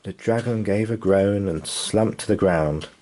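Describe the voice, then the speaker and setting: A man reading a children's bedtime story aloud in an animated storytelling style. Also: fairly low pitch, measured pace.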